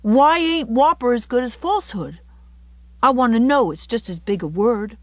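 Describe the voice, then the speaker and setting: Woman voicing an earnest, mischievous little boy, pitched up. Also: animated, normal speed, no room sound, close to an everyday voice. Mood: inquisitive, earnest. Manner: childish colloquial talk, dropped syllables.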